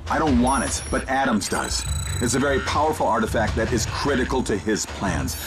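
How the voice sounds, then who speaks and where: An actor playing a mysterious male handler who guides an agent by radio, calm, commanding and instructive. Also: mid-range voice, a little fast, lightly acted. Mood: steady guidance. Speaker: mission guide in a video game. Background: game score music.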